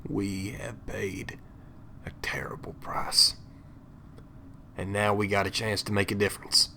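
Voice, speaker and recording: A man's voice: lowish voice, completely flat delivery, unhurried, vocal fry, sharp tone, whispered, full character voice. A man playing a hardened, resolute leader of dragon slayers in an audio drama, giving terse orders. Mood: grim, commanding.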